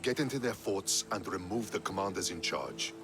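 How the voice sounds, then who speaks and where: An actor playing a proud, curt, ruthlessly pragmatic Athenian general in a video game cutscene. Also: male voice, low voice, moderate pace, creaky, background noise. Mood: haughty, determined. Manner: curt, haughty.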